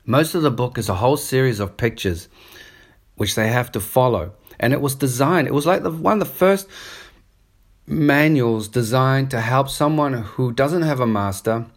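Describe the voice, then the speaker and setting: A man's voice: mid-range voice, moderate pace. Brief: male, New Zealand accent, mid-range voice, casual digressive monologue. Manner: rambling, digressive, conversational. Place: on holiday up the coast in Australia.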